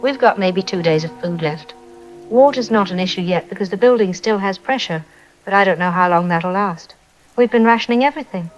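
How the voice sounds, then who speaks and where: A woman playing a frightened, weary survivor calling in to a newscast, speaking in halting fragments over a phone line. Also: mid-range voice. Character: frightened, exhausted woman survivor trapped by zombies. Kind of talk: phone call to news, audio drama. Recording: dull phone-line sound, rain behind.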